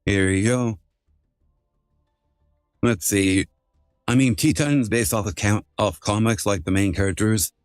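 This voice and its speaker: Male livestream host, lower voice, informal and sweary, circles back over his phrases, very dry recording. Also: measured pace, long pauses, a little mellow, clear, resonant tone, no echo, soft background music. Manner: profane, informal, repeats phrases. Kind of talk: casual livestream chat.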